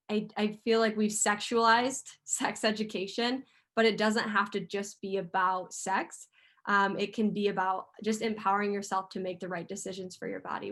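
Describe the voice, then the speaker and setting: A woman's voice: mid-range voice, fairly bright tone. Chatty young woman hosting a video call. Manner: chatty, earnest, lots of ums and likes. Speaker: host, community founder. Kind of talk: casual video call talk.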